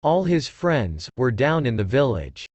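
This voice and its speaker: Male storyteller, animated delivery, mid-pitched, dry studio-like sound, scripted children's narration. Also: measured pace, no echo.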